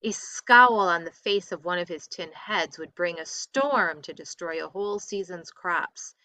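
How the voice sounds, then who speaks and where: A woman reading a story aloud expressively, with deliberate, dramatic emphasis. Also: on the low side, animated, bright, forceful tone, no echo.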